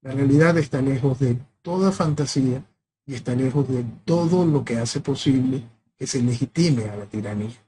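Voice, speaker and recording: A man with a Venezuelan accent giving an impassioned political monologue, piling up rhetorical questions and swinging between loud peaks and quieter drops. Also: mid-range voice, moderate pace, noisy background. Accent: Venezuelan Spanish. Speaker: political commentator.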